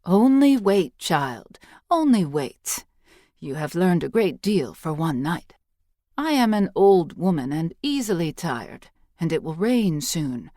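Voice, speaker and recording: Casting: woman as elderly fantasy priestess, patient teacher, lowish voice, measured and teasing, dry recording. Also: sing-song, no echo. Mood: gently teasing. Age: older. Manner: measured, instructive, gently teasing.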